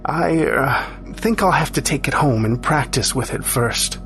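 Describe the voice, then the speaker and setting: A man's voice: medium pitch, expressive, a little slow, creaky, a bit bright, soft background music. A man voicing a skeptical, uneasy young wife in an audio story, with slangy, hesitant, questioning speech. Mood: anxious, defensive.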